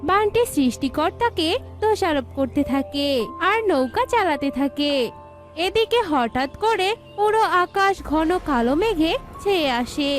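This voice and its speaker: A woman's voice: sits high, expressive, unhurried. A woman storyteller reading scripted narration for a children's cartoon. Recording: faint music behind the voice.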